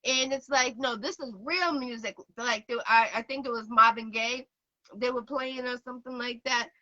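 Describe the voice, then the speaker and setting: A woman in her forties from Boston, hosting an interview show and telling stories in a chatty, animated way. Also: a little high, normal speed, lo-fi sound. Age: 40s. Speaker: show host. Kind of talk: casual interview chat.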